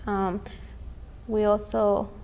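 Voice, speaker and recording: A woman from Mexico, a youth immigrant activist, answering interview questions in a careful, halting way. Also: medium pitch, little pitch movement, creaky, darkish tone, a little hiss or hum.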